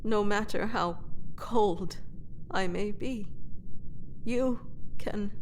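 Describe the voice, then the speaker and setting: A woman playing a proud, lovelorn captured royal in a fantasy audio drama, speaking in formal, poetic, halting phrases that trail into breathy whispers. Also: mid-range voice, a little hiss or hum. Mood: aching devotion. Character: captured royal woman, proud, anguished, lovelorn, confessing under truth potion.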